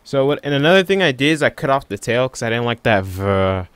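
A man giving a casual software tutorial in an offhand, unscripted way, thinking aloud as he works. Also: medium pitch, animated, brisk, smooth, dry recording. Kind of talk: casual screen-recording tutorial.

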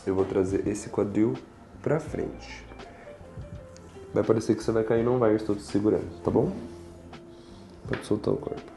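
Casting: young Brazilian male chiropractor, low gravelly voice, reassuring, guiding a patient in a roomy space. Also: fairly even intonation, moderate pace, long pauses, warm tone. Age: young adult. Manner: reassuring, checking in often. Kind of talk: instructing a patient during treatment, explaining to camera. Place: small indoor treatment room with large windows. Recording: roomy echo, faint music behind.

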